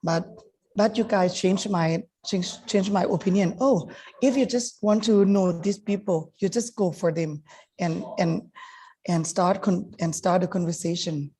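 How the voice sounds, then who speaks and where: Slow, hesitant woman, native Thai speaker. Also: fairly low pitch, warm tone, breathy edge. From Thailand. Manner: halting, many restarts and fillers. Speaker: guest speaker. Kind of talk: hesitant webinar remarks.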